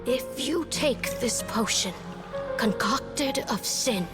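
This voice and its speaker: A woman's voice: a little high, animated, slow, loud music behind the voice. A woman voicing a frightening, solemn witch in a video game fairy tale, menacing in manner. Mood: ominous. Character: ominous frightening female witch offering a potion.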